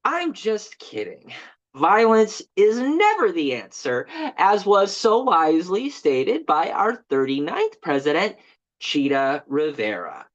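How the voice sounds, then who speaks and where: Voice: mid-range voice, big pitch swings, takes it slowly, lo-fi sound. An actor playing a bitter, just-fired male park tour guide in a dramatic monologue, chatty and passive-aggressive as he delivers a mock-informative tour speech. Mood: agitated, forced cheer.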